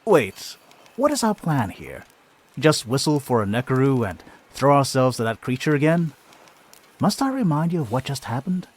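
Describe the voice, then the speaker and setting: An actor playing a brash, tormented last heir of a noble line in a video game cutscene, with a dramatic, sardonic delivery. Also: male voice, mid-range voice, big pitch swings, moderate pace. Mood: exasperated, earnest.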